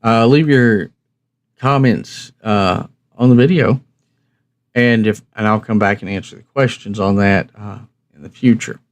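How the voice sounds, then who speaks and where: Low-pitched man, casual, folksy explainer. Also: measured pace. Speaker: host of a radio review channel. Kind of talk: casual instructional chat to viewers.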